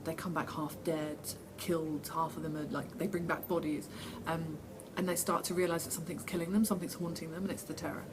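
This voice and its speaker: Fields: Sex: woman. Role: actress. Pitch: lowish. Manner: chatty, self-deprecating. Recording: noisy. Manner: chatty and self-deprecating, reads the questions out herself. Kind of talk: casual interview answers. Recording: steady background noise.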